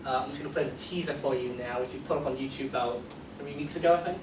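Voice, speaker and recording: A man presenting casually at a convention panel, rambling in an excitable, self-deprecating way. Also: mid-range voice, racing. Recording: echoey, noisy hall, distant microphone.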